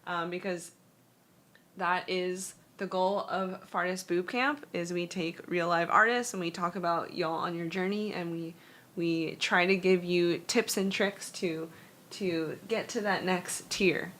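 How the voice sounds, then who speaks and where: A woman hosts a casual livestream, talking slowly and unhurriedly. Her delivery is loose and chatty, full of fillers as she reads out and translates messages. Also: lowish voice, fairly even intonation, a bit bright. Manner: halting non-native Spanish. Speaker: stream host. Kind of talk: casual livestream art critique chat.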